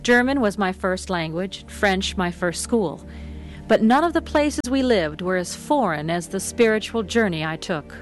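Reflective grown woman, played by an actress, radio drama, mid-pitched, faint music behind. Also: animated, a little slow, lightly acted. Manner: reflective, thoughtful. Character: grown woman recalling her spiritual search. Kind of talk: radio drama narration and acting.

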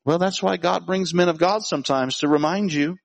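Male preacher, high-pitched voice, conversational sermon, repeated phrases, rhetorical questions. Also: brisk, vocal fry. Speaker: pastor.

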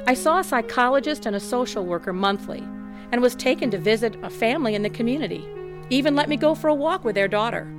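A woman's voice: on the high side, animated, fast talker, over music. An actress narrating as a tough, candid woman recounting a troubled childhood in a scripted radio drama. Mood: reflective.

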